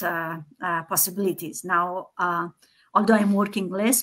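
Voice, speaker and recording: A woman co-host speaks on a casual livestream with an Afghan accent. Her pitch is medium for a woman. She talks haltingly, pausing often as she searches for the next phrase. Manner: hesitant, halting delivery with frequent pauses. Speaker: co-host, engineer. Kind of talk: casual self-introduction on a livestream.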